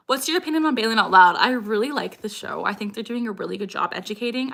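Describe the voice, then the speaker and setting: A woman's voice: mid-range voice, vocal fry, sharp tone. A young woman chatting casually on a livestream and answering viewers' questions. She is upbeat and laughs often, and her sentences are broken by sudden vocal tics.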